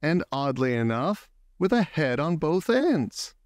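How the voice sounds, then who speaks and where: Male storybook reader, unhurried and clear-toned, stretching words for emphasis in an expressive children's read-aloud. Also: fairly high pitch, dry recording, clean studio sound. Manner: deliberate phrasing, drawn-out words for emphasis.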